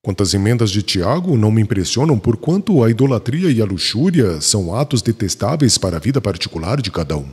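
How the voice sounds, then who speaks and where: A man's voice: fairly low pitch, very animated, fast talker, hardly any pauses, darkish tone, slightly rough, exaggerated voice. An actor playing a satisfied, frank male apostle in an audiobook, with formal, emphatic delivery. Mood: satisfied, assertive. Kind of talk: dramatized audiobook dialogue. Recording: long reverberant hall.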